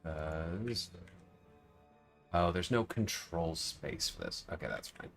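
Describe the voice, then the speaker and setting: A man with a very low-pitched voice talks over a game as a livestream host, with music playing behind him. He rambles casually, thinking out loud and addressing viewers as he goes. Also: animated, slow. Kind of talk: casual gaming livestream commentary.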